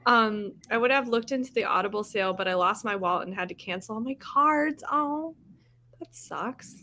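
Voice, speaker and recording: Female livestream host. Bright, forward voice, animated and giggly, informal chat among friends. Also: on the high side, normal speed, a little hiss or hum. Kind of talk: casual group chat.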